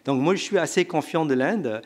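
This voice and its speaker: A man's voice: a little high, animated, normal speed, a little mellow, slightly rough. A man on a conference panel answering an audience question, hesitant and full of fillers and restarts.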